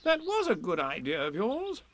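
A man's voice: extremely high pitch, animated, measured pace, bright, forceful tone, voice pushed up, exaggerated voice. A man voicing a bossy male rabbit in a storybook, giving clipped, commanding instructions. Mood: pleased.

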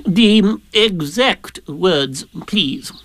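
A pompous old male owl from a storybook. He talks very slowly, stretching words and hesitating as though deep in wise thought. The voice is lifted well above a natural speaking pitch. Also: expressive, fairly bright tone, dry recording, heavily acted. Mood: grave, knowing. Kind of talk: storybook character reading.

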